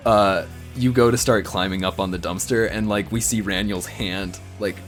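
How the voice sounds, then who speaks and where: A man improvising a story as a tabletop player, casual and halting, often repeating himself. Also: on the low side, fairly even intonation, faint music behind.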